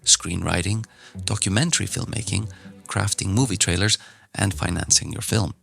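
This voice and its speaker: A man from Iceland teaching an online filmmaking class in a relaxed, gently encouraging way, with some fillers when he talks off the cuff. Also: sits low, vocal fry. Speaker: film teacher. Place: outdoors in nature. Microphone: phone recording nearby.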